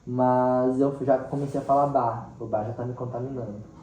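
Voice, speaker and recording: Mellow Brazilian man in a roomy recording. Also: mid-range voice, steady tone, moderate pace, soft background music. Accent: central-western Brazilian. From Mato Grosso do Sul, Brazil. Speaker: teacher, interview guest. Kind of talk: casual, animated storytelling in interview. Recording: roomy, distant mic, faint background noise.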